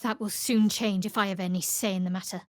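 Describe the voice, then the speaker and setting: A woman playing a guarded young half-elf cleric in a fantasy video game, with a dry, sardonic, measured delivery. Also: mid-range voice, fairly even intonation, a little mellow, no room sound, close to an everyday voice. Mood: reserved, earnest.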